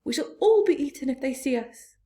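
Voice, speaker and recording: A woman voicing a timid male earthworm in a storybook, speaking in hushed, anxious scolds. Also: high voice, expressive, fairly quick, pitched above the performer's own voice. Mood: fearful. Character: timid fretful male earthworm, nervous whisperer.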